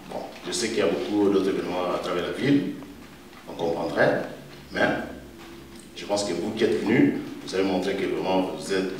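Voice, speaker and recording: Middle-aged Congolese-accented man, low voice, halting public thank-you speech, very echoey distant recording. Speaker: event speaker giving a tribute. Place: event hall with faint room noise. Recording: echoey hall, distant mic, faint noise.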